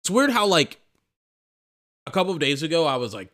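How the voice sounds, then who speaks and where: A man's voice: a little high, big pitch swings, brisk, a bit bright. A young man hosting a sports podcast, rambling and emphatic in casual commentary, restarting phrases and swearing, a little tired.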